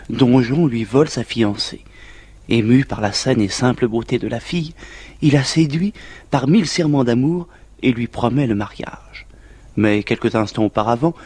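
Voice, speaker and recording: A man narrates between the scenes in measured, even storytelling. His voice has a husky edge, recorded close and dry. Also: medium pitch, dry recording. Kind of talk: scripted narration linking scenes.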